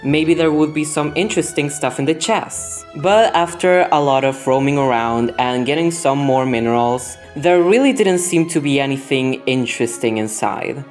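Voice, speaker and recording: Young female gaming narrator, native Spanish speaker with Spanish accent, low register, scripted voice-over over background music. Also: darkish tone. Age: young adult. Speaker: gaming video host.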